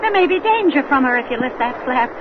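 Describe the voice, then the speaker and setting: A brave, earnest young woman, speaks very high with lively, theatrical swings. It is 1930s radio drama, muffled, with noise behind. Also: fast talker. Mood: anxious, earnest. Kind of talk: 1930s radio horror acting. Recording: muffled noisy old radio recording, crowded ambience.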